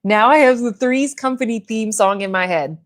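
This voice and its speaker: A woman's voice: a little high, normal speed. A woman in her thirties hosting a beauty livestream at home. She chats casually, reads out viewer comments and rambles with lots of "like" fillers. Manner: chatty and rambling, with frequent "like" fillers. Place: at home doing makeup in front of a mirror.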